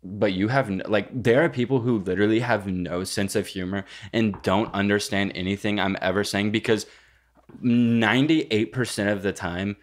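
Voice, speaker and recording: A man's voice: on the low side, fairly even intonation, moderate pace. A young man co-hosting a casual podcast, with a jokey, exaggerating, sweary way of talking. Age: young adult. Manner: jokey, profane, hyperbolic. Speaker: podcast co-host.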